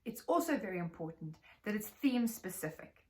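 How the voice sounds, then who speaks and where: A South African woman giving an instructional talk to teachers as a trainer, explaining in a measured, articulate way. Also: medium pitch, very animated, a little mellow, rough recording.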